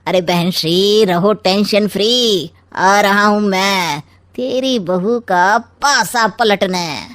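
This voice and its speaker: An actor playing a sly, scheming middle-aged uncle in a cartoon story, with smug, taunting, theatrically drawn-out rhyming quips. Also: male voice, sits high, fairly bright tone, no echo, studio quality. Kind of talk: Hindi cartoon story acting.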